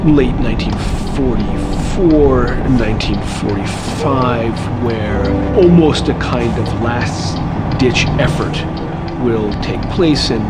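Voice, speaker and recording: A man speaking as an expert in a documentary interview, explaining with deliberate, emphatic phrasing over music and vehicle rumble.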